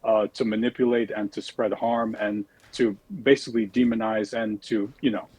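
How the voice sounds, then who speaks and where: A man's voice: on the low side, level tone, moderate pace, a little mellow, breathy edge, no room sound. A young man from the United States, a debate guest who speaks politely and a bit hesitantly. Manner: polite, hesitant, uses fillers. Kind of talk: debate argument.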